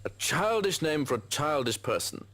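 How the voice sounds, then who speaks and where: An actor playing a dry, mocking butler with formal, stilted phrasing in a video game. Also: male voice, a little high, moderate pace, exaggerated voice. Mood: snide, mocking. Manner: deadpan mockery, formal stilted wording. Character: dry, snide family butler with foreign phrasing.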